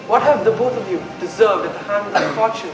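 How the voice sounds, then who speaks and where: Young man acting a high-voiced, brooding young prince. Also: brisk, background noise, natural voice. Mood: guarded, uneasy. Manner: declamatory, dramatic. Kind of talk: theatrical Shakespearean stage acting. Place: school stage performance in a hall. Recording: echoey stage recording, loud music.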